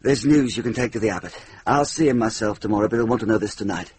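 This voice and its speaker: A man's voice: lowish voice, quick pace, darkish tone, husky. An actor playing a battle-weary medieval nobleman soldier in a radio drama, curt, earnest and grave. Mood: urgent, grave.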